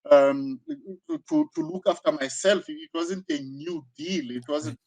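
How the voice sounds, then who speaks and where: A man of sixty with a southern African accent speaks in a slightly high voice. He talks reflectively, as a guest being interviewed, feeling his way through phrases with many repeats and verbal tags. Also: sing-song, gravelly, a little mellow, rough recording. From Zambia, born in South Africa. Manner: halting, searching delivery with frequent repetitions and 'you know'. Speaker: interview guest, karate teacher.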